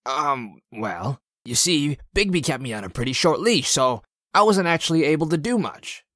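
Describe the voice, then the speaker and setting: A man's voice: a little high, animated, moderate pace, fairly bright tone, no room sound, clean studio sound. A man voicing a nervous, evasive talking-animal underling, hesitant and placating, in a comic dub.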